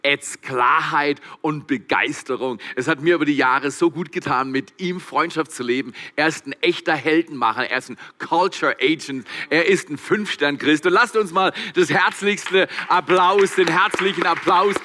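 Bright, fervent man welcoming a church. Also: a little high, normal speed, few pauses. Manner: emphatic, rising to fervent calls. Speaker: pastor hosting the service. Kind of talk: church welcome and guest introduction.

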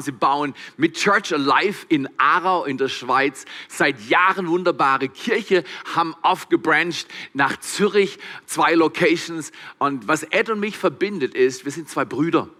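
A man's voice: on the high side, bright, forceful tone. A man, the church's pastor, welcoming the congregation and introducing the guest speaker with emphatic, rising enthusiasm. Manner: emphatic, rising to fervent calls. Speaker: pastor hosting the service.

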